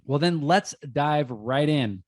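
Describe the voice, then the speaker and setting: A man hosting an interview podcast, asking friendly, measured questions. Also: fairly high pitch, expressive, a little mellow, no echo, studio quality. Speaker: host.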